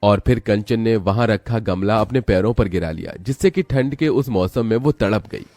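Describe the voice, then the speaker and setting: A man's voice: on the low side, normal speed, a little mellow, no echo. A man narrating a scripted, dramatic story as a storyteller.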